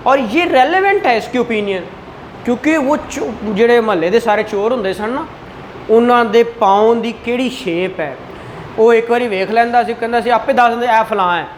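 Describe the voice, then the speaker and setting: A Pakistani-accented woman teaches in a lecture style with a fairly high voice. She explains conversationally, putting questions to her listeners and slipping into English terms. There is light noise behind her. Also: moderate pace, rough recording. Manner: conversational, frequent rhetorical questions and acted-out dialogue; code-switches into English terms. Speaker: law lecturer. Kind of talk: classroom lecture with questions to students.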